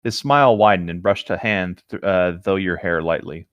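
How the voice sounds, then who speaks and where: A man's voice: sits low, normal speed, no echo, lo-fi sound. A man reading fan fiction aloud as a co-host, slipping into casual banter between passages.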